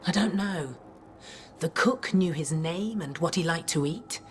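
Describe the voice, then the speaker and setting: A woman's voice: fairly low pitch, a little slow, slight background noise, natural voice. An actress playing a stern, dutiful templar officer in a video game, terse and businesslike, with music behind her. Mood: concerned, earnest.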